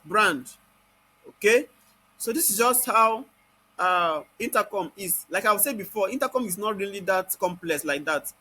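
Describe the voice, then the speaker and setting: High, bright-voiced Nigerian man teaching. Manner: constantly asks rhetorical questions and answers them. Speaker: software tutorial instructor. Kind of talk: informal step-by-step screen tutorial.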